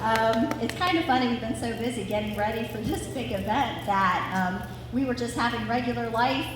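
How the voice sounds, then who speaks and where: A woman in her forties with a fairly high voice reads a family story in a chatty, sentimental way. The sound is very echoey, with long hall reverb and clear background noise. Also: normal speed. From Ohio, United States. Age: 40s. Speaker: daughter reading family story at anniversary event. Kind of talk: scripted family narration with informal asides. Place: large reverberant room at a live gathering.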